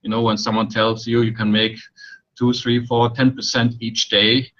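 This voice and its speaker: A man in his sixties from Germany with a German accent, introducing himself haltingly on a webinar call with many fillers and a dry joke. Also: medium pitch, flat delivery, a bit bright. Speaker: webinar guest. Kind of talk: casual self-introduction. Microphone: video call. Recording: dull lo-fi call audio.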